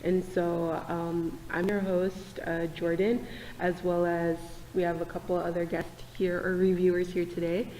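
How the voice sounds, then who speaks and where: A woman hosting a casual panel discussion in a church meeting room, talking in a relaxed, hesitant way with many fillers. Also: fairly low pitch, a little slow, in a large hall, slight background noise, rough recording. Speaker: host.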